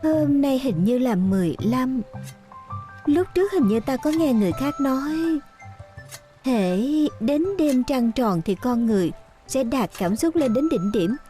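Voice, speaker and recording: Young period-drama heroine: high, lively, mellow voice, pensive yet playful, music underneath. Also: female voice, a little slow. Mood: musing. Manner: musing aloud, expressive. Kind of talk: Vietnamese dubbing of costume drama.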